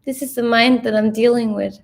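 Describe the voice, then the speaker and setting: A Danish-accented woman in her late twenties with a slightly high voice answers viewer comments on a home livestream. She is teasing and blunt with her partner. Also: steady tone, a little fast, vocal fry. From Denmark. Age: 20s. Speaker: vlogger co-host of a livestream. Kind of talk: casual livestream chat, reading comments.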